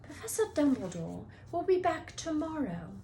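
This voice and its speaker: A woman's voice: high-pitched, big pitch swings, normal speed, gravelly, warm tone, a little hiss or hum, pitched above the performer's own voice, exaggerated voice. A woman voicing a stern, haughty woman professor with a clipped, disapproving manner in a children's book read-aloud. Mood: shocked, stern.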